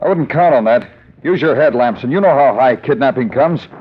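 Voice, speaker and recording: Dry, wry American police detective played by a man, middle register, steady interrogator, dull vintage radio recording, faint noise. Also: medium pitch, a little fast, close to an everyday voice. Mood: pressing, wry. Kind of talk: old-time radio police drama acting.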